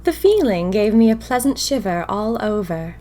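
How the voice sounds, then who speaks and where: A woman's voice: medium pitch, natural voice. Wry, whimsical young typist played by a woman. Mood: intrigued, smitten. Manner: wry, expressive, dramatic pauses. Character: whimsical, bored young 1920s typist confiding in her diary. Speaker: narrator of a fiction podcast. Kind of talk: first-person diary audio fiction narration.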